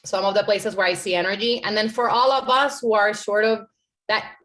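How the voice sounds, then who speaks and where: A woman's voice: medium pitch, brisk, sharp tone. Earnest woman speaking on a panel. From Puerto Rico. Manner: earnest, conversational, frequent "like" and "right?". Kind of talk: panel discussion answer.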